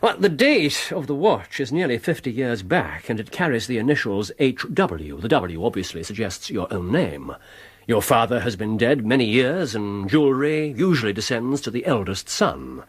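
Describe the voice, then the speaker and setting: An actor playing a brilliant, restless, haughty English detective in a radio drama, precise and pedantic in a theatrical way. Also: male voice, mid-range voice, sing-song, moderate pace, breathy edge. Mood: brisk, explanatory.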